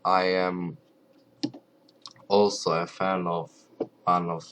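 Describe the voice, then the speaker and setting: A man with a Ukrainian accent, English not his first language, talking quietly and carefully to camera and often pausing to search for words. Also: deep voice, little pitch movement, slight background noise. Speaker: video creator. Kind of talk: casual talk to camera.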